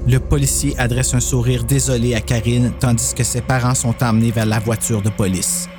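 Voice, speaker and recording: A man's voice: medium pitch, level tone, brisk, over music. A man reading scripted narration for a horror story dramatization.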